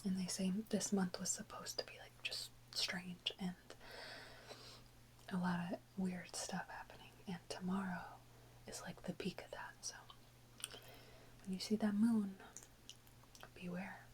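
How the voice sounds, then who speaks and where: A woman whispering softly and close to the microphone in an informal, rambling ASMR chat with unhurried pauses. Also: medium pitch, level tone. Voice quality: soft unvoiced whisper. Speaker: ASMR video creator. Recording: close whisper with faint background noise.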